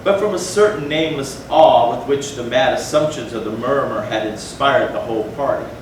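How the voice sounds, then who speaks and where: A man narrating gothic horror as a dramatic stage reading, solemn and theatrical with deliberate phrasing. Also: mid-range voice. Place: indoor theatre, recorded from a distance. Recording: very echoey hall, far mic, noisy.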